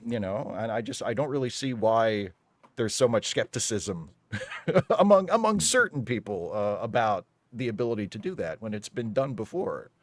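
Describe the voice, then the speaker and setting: A man's voice: lowish voice, animated, normal speed, dry recording. A man giving opinions in a casual panel discussion, emphatic and often rephrasing as he argues. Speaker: panel guest on a live fan show.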